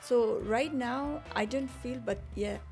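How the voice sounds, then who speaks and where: A woman from Nepal, a guest spa owner, talking in a casual interview in an earnest way, often pausing to search for words. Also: a little high, vocal fry, darkish tone, faint music behind.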